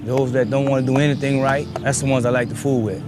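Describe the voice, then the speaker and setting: Mid-pitched Southern male horse trainer. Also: steady tone, quick pace, over loud music. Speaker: prison inmate, horse trainer. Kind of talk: casual documentary interview.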